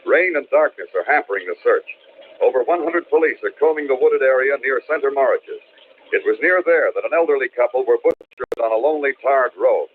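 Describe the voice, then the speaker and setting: An actor playing an urgent radio news announcer reading a bulletin in an old-time radio drama. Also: male voice, mid-range voice, lively intonation, a little fast. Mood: grave, urgent. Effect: car radio filter. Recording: tinny, thin car-radio sound, faint noise.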